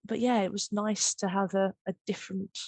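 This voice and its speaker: A woman's voice: medium pitch, moderate pace, no echo. Giggly, gushing woman podcast host. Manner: giggly, gushing, animated retelling. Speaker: podcast host and audio drama producer. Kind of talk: casual behind-the-scenes chat.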